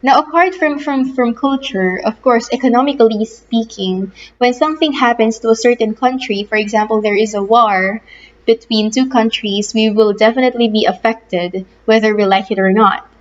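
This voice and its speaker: A woman's voice: a little high, normal speed. Filipino woman teacher giving a lecture. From Philippines. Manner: explanatory, repeats words while thinking. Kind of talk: recorded online lecture. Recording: slightly dull lo-fi audio.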